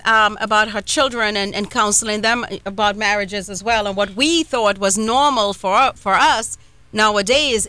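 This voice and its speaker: A Trinidadian woman hosting a live radio talk show, chatty with quick asides. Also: mid-range voice, bright, forceful tone, dry recording. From Trinidad and Tobago.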